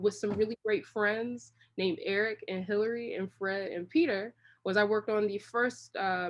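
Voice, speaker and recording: A woman from Washington, D.C., giving casual, long-winded answers as a guest in an interview. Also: mid-range voice. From Washington, D.C., United States. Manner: long-winded, rambling storytelling. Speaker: interview guest, creative director.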